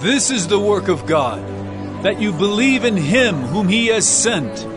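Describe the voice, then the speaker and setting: A man plays a solemn, authoritative male teacher from a Bible audio drama. His voice is raised high and moves with lively, earnest emphasis. Music plays clearly behind him. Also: measured pace.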